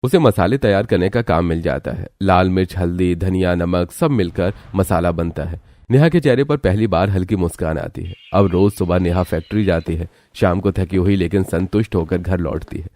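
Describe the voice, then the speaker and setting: A man with a very low voice serves as narrator. He delivers scripted story narration. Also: moderate pace, few pauses, mellow, dry recording, clean studio sound.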